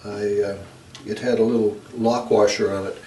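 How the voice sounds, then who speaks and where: A man narrating a hands-on repair tutorial in a halting, unscripted way, as if working at a bench indoors. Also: on the low side, little pitch movement, dark tone. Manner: hesitant, unscripted, halting delivery. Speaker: electronics repair video host. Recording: roomy, distant mic, noisy background.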